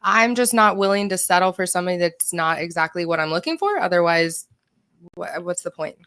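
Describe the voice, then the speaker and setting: A woman's voice: lowish voice, animated, brisk, fairly bright tone, no echo, studio quality. A woman in her thirties, a podcast panel guest, answering in a self-assured, direct way. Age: 30s. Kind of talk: casual panel chat.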